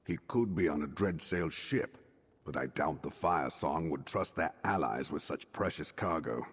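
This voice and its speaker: An actor playing a proud Breton nobleman in a video game, speaking in a measured, formal, reflective way. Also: a bass voice, lively intonation, slightly rough, in a large hall, heavily acted.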